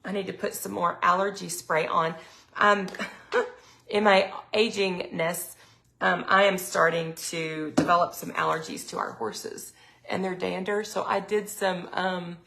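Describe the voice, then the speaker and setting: A middle-aged woman, chatting casually on a live sales stream, her throat a little raspy from allergies. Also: on the low side, unhurried, sharp tone, rough recording. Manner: chatty, lots of ums, reads viewer comments aloud. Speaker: product consultant hosting a live sales stream.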